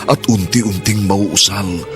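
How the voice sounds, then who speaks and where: A man with a Filipino accent narrating a radio drama in a solemn, grandiose, declamatory style with drawn-out phrases. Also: deep voice, music in the background. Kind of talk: solemn, theatrical scripted narration.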